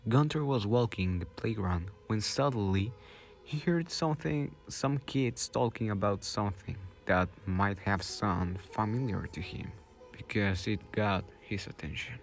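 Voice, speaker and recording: A man's voice: fairly low pitch, unhurried, gravelly. A man giving dramatic scripted narration for a radio drama.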